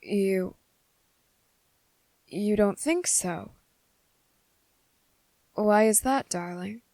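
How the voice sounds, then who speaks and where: A woman acts out a gentle, brooding male vampire who is possessively in love, in an ASMR roleplay. The delivery is unhurried and halting, coaxing the listener tenderly. The sound is intimate and very dry, with no room around the voice. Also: mid-range voice, dry recording. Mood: gentle, sorrowful. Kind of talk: ASMR character roleplay, intimate scripted acting.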